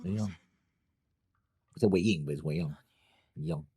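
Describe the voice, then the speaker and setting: A man's voice: low-pitched, moderate pace, mellow, husky, no echo, clean studio sound. A man co-hosting a reaction show, chatting casually as he reacts to what he watches.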